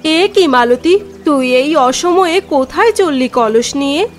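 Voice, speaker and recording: A woman voicing a hurried, gossiping village neighbor in a cartoon, brisk and dismissive. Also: sits high, expressive, soft background music.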